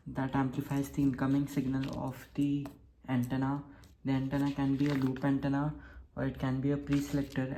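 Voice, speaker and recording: Woman hobbyist presenter, very deep monotone, short repetitive explanatory statements, slightly noisy home recording. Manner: repeats phrases, short plain statements. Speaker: hobbyist radio builder presenting. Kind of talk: informal technical demonstration.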